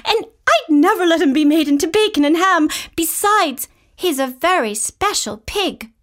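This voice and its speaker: Storybook girl, earnest and high-pitched, voiced by a woman. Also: expressive, moderate pace. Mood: pleading, earnest. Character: proud young girl with a beloved pig.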